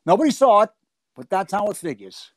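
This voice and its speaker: An actor playing a hesitant, conciliatory police detective, plain-spoken and halting, in 1940s radio drama style. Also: male voice, sits high, very animated, dry recording. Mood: matter-of-fact.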